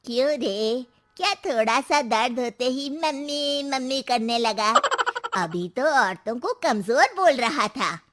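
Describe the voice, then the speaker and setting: A woman's voice: sits high, animated, slow pace, over loud music. A fearless old mother-in-law. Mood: scolding. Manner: bold, scolding, boastful. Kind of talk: cartoon voice acting.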